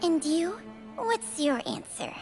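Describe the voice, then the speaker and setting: A woman's voice: extremely high pitch, big pitch swings, slow pace, full character voice. A woman voicing an ancient, wistful spirit in a video game, with a knowing, resigned manner. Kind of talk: dramatic game voice acting. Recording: loud music under the voice.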